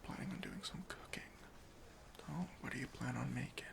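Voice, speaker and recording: A man playing an officious but easily persuaded airport security officer in a whispered, deliberate ASMR roleplay, questioning with mock-stern politeness. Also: medium pitch. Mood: agreeable, musing. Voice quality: whispery, breathy.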